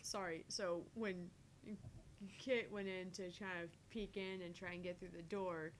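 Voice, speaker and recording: A woman's voice: fairly even intonation, a little slow. A woman from the American South bantering casually as a game streamer. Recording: rumbly background noise.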